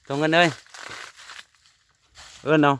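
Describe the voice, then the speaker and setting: A man's voice: unhurried, a bit bright, slight background noise. A man with an Isan Thai accent chatting casually to viewers in a vlog, outdoors among rural trees. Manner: chatty, keeps calling out to viewers. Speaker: vlogger.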